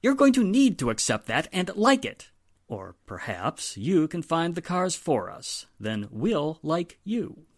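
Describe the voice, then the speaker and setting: A weary, sardonic police inspector, played by an actor in an old-time radio drama style. He is emphatic and dryly exasperated, with a very animated, swooping delivery. The sound is dry, without room echo. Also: medium pitch, unhurried. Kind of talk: 1940s radio drama acting.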